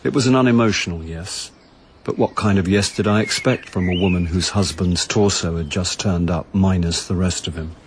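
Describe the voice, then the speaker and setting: A man's voice: sits low, normal speed, slight background noise, lightly acted. A man playing a world-weary amateur sleuth, narrating a radio drama in the first person with dry, understated wit. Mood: wry, reflective.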